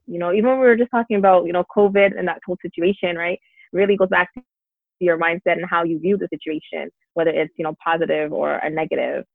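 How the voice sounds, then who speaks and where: A woman's voice: lowish voice, fairly even intonation, quick pace, gravelly, no room sound. A woman, a success coach, answering interview questions earnestly and upbeat, with lots of fillers. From Canada. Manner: earnest, upbeat, many "um" and "you know" fillers. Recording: tinny phone-like line.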